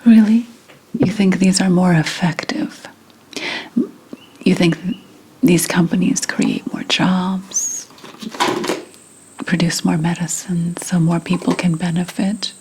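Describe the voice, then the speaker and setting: A woman playing an indignant, bitter 17th-century witch and healer in a whispered ASMR roleplay, deliberate and scornful. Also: fairly low pitch, fairly even intonation, darkish tone, breathy edge, exaggerated voice, low-quality recording. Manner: slow, deliberate, scornful whispering with pauses and sighs.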